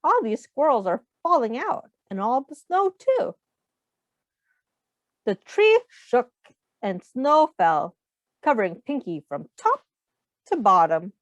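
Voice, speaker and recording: Lady reading a kids book, super animated n slow, teacher style, kinda echoey and muffled. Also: fairly high pitch. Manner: expressive, asks the listeners questions. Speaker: teacher reading aloud to young children. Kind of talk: children's picture book read-aloud. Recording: muffled, echoey room sound.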